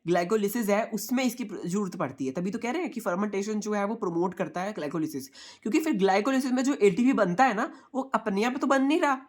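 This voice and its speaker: Hoarse guy with a sore throat doing an online revision class, keeps repeating himself, calls everyone friends. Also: high voice. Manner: repetitive explaining, addresses viewers as friends. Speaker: online tutor. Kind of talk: exam revision lecture.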